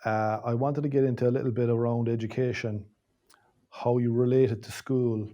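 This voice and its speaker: A man's voice: medium pitch, steady tone, moderate pace, mellow, lo-fi sound. An Irish man hosting an interview, probing and rephrasing his questions as he goes. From Ireland. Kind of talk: conversational interview.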